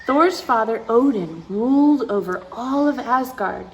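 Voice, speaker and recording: A woman's voice: a little high, lively intonation, measured pace, highs cut off. A woman reading a picture book aloud to children, with playful asides and dramatic emphasis, speaking straight to her young listeners.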